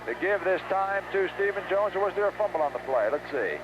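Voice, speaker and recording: High-pitched man calling football on noisy old radio. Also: brisk. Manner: excitable, urgent calls on big plays. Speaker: play-by-play football announcer. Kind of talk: live radio play-by-play commentary. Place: outdoor stadium, game broadcast. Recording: noisy muffled 1970s radio broadcast.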